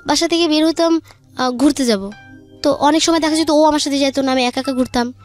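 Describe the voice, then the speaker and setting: Young female guest, high voice, Bangladeshi accent, informal personal storytelling over faint music. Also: brisk. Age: young adult. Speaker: guest telling her life story.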